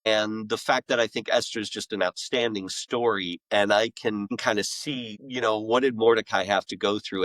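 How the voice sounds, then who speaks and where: A man's voice: on the low side, a bit bright, no echo, rough recording. A middle-aged man speaking frankly and self-deprecatingly in a conversational podcast interview as a guest author.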